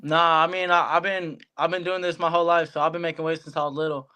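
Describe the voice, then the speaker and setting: A young American man speaks in a smooth, clean voice that sits a little high. His delivery stays quite level as he gives casual, colloquial interview answers as a boxing guest. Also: flat delivery, quick pace, bright, forceful tone. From Hawaii, United States. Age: young adult. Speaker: professional boxer, guest.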